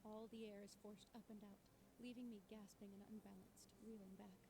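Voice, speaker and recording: A woman's voice: mid-range voice, level tone, a little fast, background noise, natural voice. An actress playing a tough, defiant young woman, narrating her struggle dramatically in the first person for a visual novel. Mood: tense.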